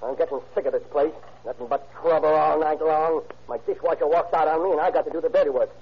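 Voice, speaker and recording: Surly cafe owner: husky, rapid, defensive; muffled old radio recording. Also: male voice, a little high. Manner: defensive, grumbling, stammering. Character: surly, evasive cafe owner under questioning. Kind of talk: 1940s radio acting.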